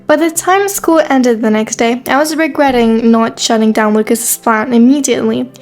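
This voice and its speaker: Woman as guarded high school girl, fairly high voice, dry and exasperated, story narration, faint background music. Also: moderate pace, a little mellow, close to an everyday voice. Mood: reflective, wary. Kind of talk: first-person story narration and dialogue.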